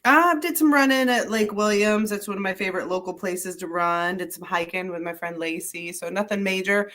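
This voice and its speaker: A woman's voice: medium pitch, fairly quick, fairly bright tone. A middle-aged woman with a head cold, sounding congested as she co-hosts a running podcast in easygoing, good-humored banter. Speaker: podcast co-host. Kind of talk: casual chat.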